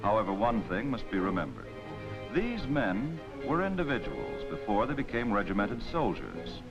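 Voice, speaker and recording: On a muffled old film soundtrack with music behind, an authoritative American narrator delivers 1940s military training commentary. His mid-pitched voice moves with lively swings, measured and a little wry.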